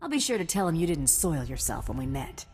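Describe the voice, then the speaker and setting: An actress playing a tough, sarcastic bounty hunter in a video game, blunt and unimpressed. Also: female voice, low-pitched, big pitch swings, fairly quick, darkish tone, breathy edge, soft background music, full character voice. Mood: sardonic. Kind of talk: scripted video game voice acting. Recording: hall reverb.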